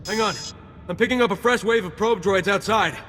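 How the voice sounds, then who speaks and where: An actor playing a nervous, obedient young army private in a video game, with a strained delivery. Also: male voice, sits high, fairly quick, sharp tone. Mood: panicked. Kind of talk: video game cutscene acting. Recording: echoey hall, music behind.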